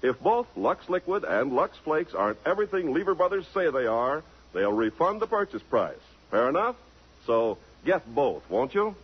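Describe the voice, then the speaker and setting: A man's voice: on the high side, very animated, normal speed, husky. An American man announcing and reading folksy scripted advertisements in the style of 1950s radio. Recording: muffled 1950s radio broadcast.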